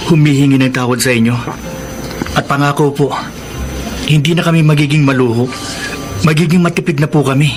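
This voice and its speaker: An actor with a Filipino accent playing an apologetic, respectful son in a radio drama, with music underneath. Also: male voice, a little high, fairly quick, long pauses, close to an everyday voice. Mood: contrite.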